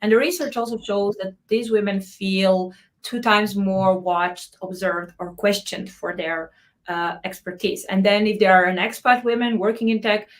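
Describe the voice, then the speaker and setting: Female host, online panel moderator, mid-pitched and steady. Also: moderate pace. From Serbia. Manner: warm encouraging questioner, reads chat comments aloud.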